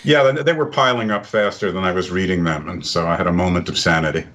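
A man's voice: low voice, fast talker, fairly bright tone. An older man from the United States, a guest on a podcast, introducing himself with dry jokes. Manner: dry, joking. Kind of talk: casual chat.

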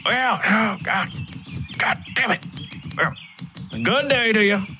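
A man voicing an awkward, stammering older gentleman of means in an audio story. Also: high voice, slow pace, slight background noise, voice pushed up, exaggerated voice. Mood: flustered. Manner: stammering, hesitant, fussy.

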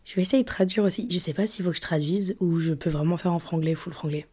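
Mellow-voiced young woman podcaster. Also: fairly low pitch, quick pace, slightly rough. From England. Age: young adult. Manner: chatty, rambling, many fillers and restarts. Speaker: podcast host. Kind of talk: casual solo podcast chat.

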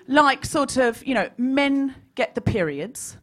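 A woman comedian with a high-pitched, swooping voice performs to a live audience. She is animated and mock-serious, playing up every point as a podcast host. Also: takes it slowly. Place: small live comedy venue. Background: audience laughter.

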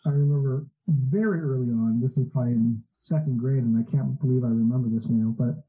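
Man, 40s, from Southern California; relaxed storyteller with a breathy edge, slightly dull recording. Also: medium pitch, brisk. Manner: relaxed storytelling, many fillers. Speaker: podcast guest, entrepreneur. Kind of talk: casual interview chat.

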